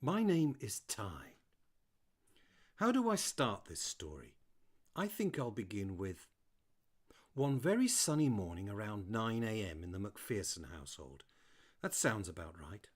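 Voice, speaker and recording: A man voicing a playful, chatty terrier who tells his own story in an animated, mischievous manner, as audiobook narration. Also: on the low side, unhurried, pauses often, slightly rough, exaggerated voice. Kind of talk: first-person audiobook narration.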